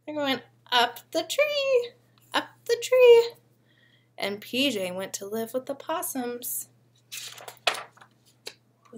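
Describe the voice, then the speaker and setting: A young woman reading a picture book aloud to small children like a preschool teacher, expressively and often pausing to ask them questions. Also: sits high, a bit bright. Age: young adult. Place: living room at home.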